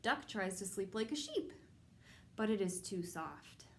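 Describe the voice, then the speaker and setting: A woman hosting a children's storytime, reading a picture book aloud in simple, measured phrases addressed to young children. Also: mid-range voice, expressive, slight background noise. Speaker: children's storytime host at a public library.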